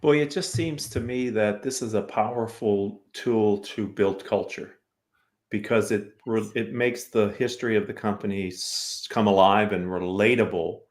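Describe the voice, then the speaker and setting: A man's voice: on the low side, steady tone, a little slow. A man hosting a business talk show, asking conversational, reflective questions of his guests. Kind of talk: business interview, thoughtful questions.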